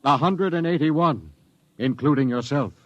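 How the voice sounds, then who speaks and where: A grim doomed space captain. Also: male voice, medium pitch, lively intonation, dry recording. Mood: bitter. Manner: grave, measured narration turning sharp and bitter. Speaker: expedition captain narrating. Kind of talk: 1950s radio drama acting. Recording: muffled old radio broadcast with music.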